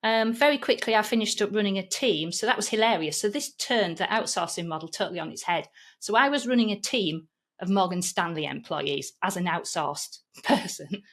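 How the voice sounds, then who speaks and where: A woman's voice: mid-range voice, brisk, fairly bright tone. A woman in her fifties with a Yorkshire accent, a podcast guest telling her career story in a chatty, self-deprecating way with frequent restarts. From England. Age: 50s. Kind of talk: conversational interview storytelling.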